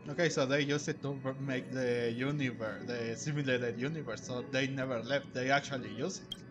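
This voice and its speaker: A man doing casual let's-play commentary, thinking aloud in a halting way. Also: mid-range voice, fairly even intonation. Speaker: gaming streamer. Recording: game music behind the voice.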